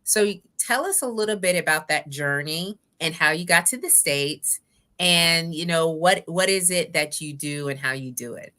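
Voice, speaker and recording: A woman's voice: sits low, expressive, normal speed, sharp tone. A woman hosting a talk show, interviewing in a chatty, conversational way and addressing viewers directly. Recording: live online stream.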